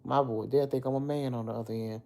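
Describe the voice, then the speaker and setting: A middle-aged woman with a deep, slightly hoarse voice, chatting casually on a livestream and answering commenters. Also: steady tone, fast talker, warm tone. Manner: chatty, talks back to commenters by name. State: a little hoarse. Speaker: livestream host. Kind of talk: casual livestream chat with viewers.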